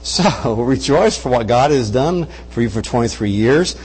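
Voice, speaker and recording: A man's voice: medium pitch, lively intonation, normal speed, darkish tone, breathy edge, long reverb tail, rough recording. An older man with a Kentucky accent, raised in Brazil, preaching as a guest missionary in a church on a wireless mic, folksy with joking asides. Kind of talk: sermon. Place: church service.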